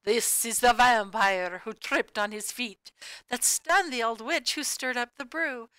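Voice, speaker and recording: A woman reading a rhyming picture book aloud to children in an expressive, sing-song way with emphatic key words. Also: on the high side, measured pace, smooth, no echo, poor audio. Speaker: storybook reader.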